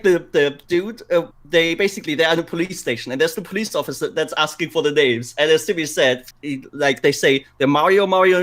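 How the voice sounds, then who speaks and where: A man co-hosting a podcast, chatting casually and repeating words for emphasis as he tells things. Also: a little high. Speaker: podcast co-host. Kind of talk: casual podcast chat about games and films.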